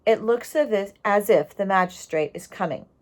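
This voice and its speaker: A woman reading the part of a wise, calm old great-grandfather, speaking in a measured, resolute way in a storybook. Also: mid-range voice, natural voice. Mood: grave, resolute. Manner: measured, resolute, addressing family.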